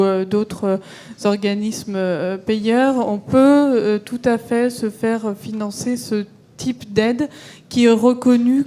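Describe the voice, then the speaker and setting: A woman's voice: medium pitch, warm tone. A woman panelist answering audience questions at a conference, explaining carefully with many hesitations and restarts.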